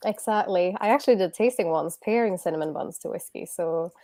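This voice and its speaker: A woman's voice: lowish voice, a little fast, warm tone, no room sound. A woman from Sweden who writes about whisky, speaking on a video call as an enthusiastic, friendly guest. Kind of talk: interview answers. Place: home in Edinburgh, on a video call.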